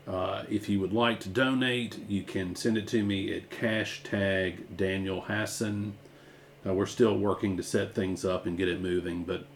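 Gravelly low-voiced podcast host, halting. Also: male voice, slight background noise. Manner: halting, with many "uh" fillers. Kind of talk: casual podcast hosting.

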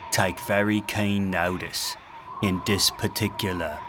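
A man's voice: low-pitched, fairly even intonation, a little slow, heavy background noise. A man narrating a scripted audio drama in a theatrical, florid storytelling manner. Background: music.

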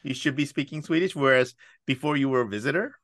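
A man with a bright, forceful voice co-hosts a casual chat. He probes with follow-up questions, and the sound is close and dry. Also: medium pitch, fast talker, no echo. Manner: probing, asks follow-up questions. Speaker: podcast co-host.

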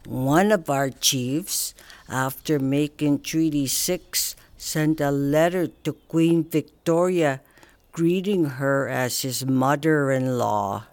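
An actor playing an older Northern Cree man, a patient elder who teaches in a slow, gentle way. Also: on the high side, no echo. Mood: explaining. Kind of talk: audio drama acting.

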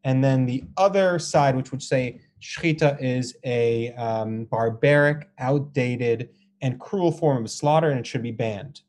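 An American man with a medium-pitched voice teaches a class online in an informal lecture style. His delivery is halting and hesitant, broken by frequent ums and pauses. The audio comes from a video call. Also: a little mellow. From Israel and Colorado, US.

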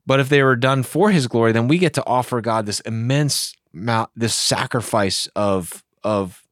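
A man's voice: mid-range voice, normal speed, no echo, clean studio sound. A man, a pastor, talking earnestly and with animation in a casual theology discussion. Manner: earnest, animated, acts out inner voices.

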